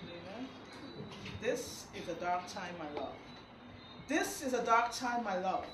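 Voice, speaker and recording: A woman's voice: medium pitch, lively intonation, measured pace. A young woman, a performance poet, dramatically reciting a poem at a live event. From Guyana. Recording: echoey room with clear noise.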